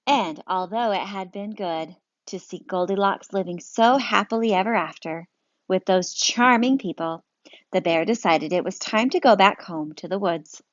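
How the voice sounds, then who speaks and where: A woman's voice: mid-range voice, moderate pace, no room sound. A woman reading a picture book aloud as a teacher, engaging listeners with questions. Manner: lively, engaging, asks questions. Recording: dry, dull lo-fi sound.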